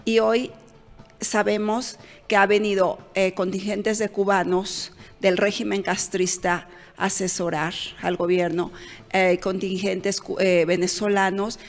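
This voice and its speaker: A woman's voice: mid-range voice, little pitch movement, a little slow, soft background music. A woman co-hosting a political talk show, giving opinions with fillers and self-corrections. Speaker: show co-host. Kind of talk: political commentary chat. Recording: long reverb.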